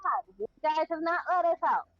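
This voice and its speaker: A woman's voice: extremely high pitch, brisk, no room sound. A woman casually playing a tabletop game, heard through a dull microphone. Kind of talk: casual chat. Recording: dull dry mic, faint music.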